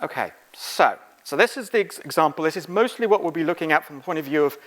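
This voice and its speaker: A middle-aged man speaking as a conference presenter, giving an informal, halting technical lecture. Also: a little high, expressive, fairly bright tone, low-quality recording. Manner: informal, halting, quizzing the audience. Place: conference room, on stage.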